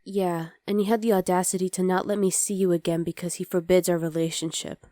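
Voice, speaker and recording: Soft, mellow young demon girlfriend played by a woman. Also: lowish voice, level tone, brisk, no echo, studio quality, close to an everyday voice. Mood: resentful, confiding. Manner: intimate, soft, often dropping to a whisper. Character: young demon girlfriend, sweet, exasperated, rebellious daughter. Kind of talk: ASMR roleplay, addressing the listener as partner.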